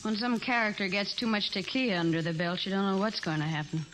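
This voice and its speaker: Tough, curt femme fatale played by an actress, mid-pitched, defensive and slangy, vintage radio crime drama. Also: female voice, fairly even intonation, quick pace, a bit bright, natural voice, poor audio. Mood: defiant. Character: hard-boiled, defiant small-town femme fatale. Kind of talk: 1940s radio drama acting.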